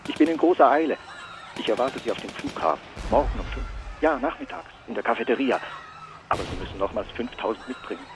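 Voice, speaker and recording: An actor playing a money-hungry private detective in a radio play, insistent, hurried and excitable. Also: male voice, lowish voice. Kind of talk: German radio play acting. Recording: clear background noise.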